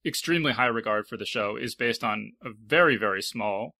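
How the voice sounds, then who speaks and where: Male panel host: crisp bright edge, lots of fry, halting thoughtful delivery. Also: lowish voice, lively intonation, no room sound. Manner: hesitant, thoughtful, repeating words. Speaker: podcast host. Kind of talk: casual panel discussion.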